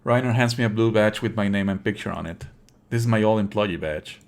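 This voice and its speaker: A man's voice: fairly low pitch, a little fast. A man doing live let's play commentary as a streamer, sardonic and indignant, swearing freely and at times reading game lines aloud.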